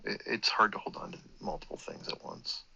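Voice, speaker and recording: Gravelly low-voiced man with a sore throat. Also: wildly swooping pitch, fairly quick, bright, forceful tone, muffled recording. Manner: meandering, self-correcting, swears casually. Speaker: vlogger. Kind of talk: rambling personal monologue.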